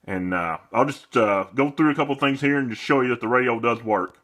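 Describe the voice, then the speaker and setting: A man's voice: medium pitch, brisk, fairly bright tone. A man giving a casual demonstration of a product as its seller, talking off the cuff.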